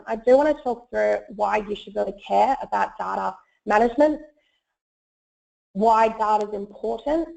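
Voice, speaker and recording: A woman with a croaky voice from a cold, giving an online presentation with frequent fillers. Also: a little high, darkish tone. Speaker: webinar presenter, solutions consultant. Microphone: web conference audio. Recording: muffled lo-fi web call audio.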